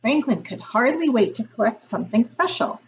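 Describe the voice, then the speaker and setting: A woman reading a picture book aloud as a teacher would, in a deliberate, expressive storytelling style. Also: a little high.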